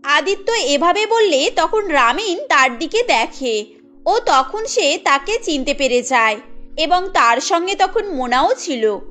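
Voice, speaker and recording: A woman's voice: sits high, animated, takes it slowly, a bit bright. A woman delivering scripted narration for a cartoon story. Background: faint music.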